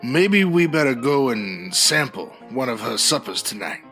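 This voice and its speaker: A man's voice: medium pitch, big pitch swings, unhurried, a bit bright, soft background music. A man acting a sly Gold Rush outlaw ringleader in a comedy audio drama. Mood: feigned friendliness, probing.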